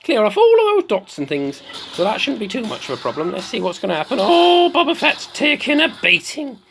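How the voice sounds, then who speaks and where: Someone putting on the voice of a slightly mad, excitable woman doing theatrical video game commentary, repeating phrases for effect. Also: medium pitch, fairly quick, vocal fry, a little hiss or hum, heavily acted. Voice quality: put-on comic voice. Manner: theatrical, repeats phrases, swoops between squeals and murmurs.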